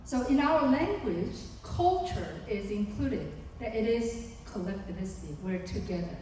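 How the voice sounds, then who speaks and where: A woman's voice: mid-range voice, animated, unhurried, a little mellow, slight background noise. A woman with a Korean accent giving an earnest, explanatory seminar talk to parents as a psychologist, acting out examples as she goes. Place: large conference hall. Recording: distant, echoey hall.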